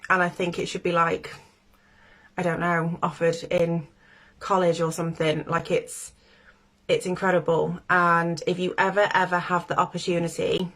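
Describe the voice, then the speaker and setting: A woman's voice: fairly low pitch, a bit bright. A woman hosting a casual livestream with a stuffy, congested voice from a cold, chatty and meandering, often breaking into laughs. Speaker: livestream host, spiritual coach.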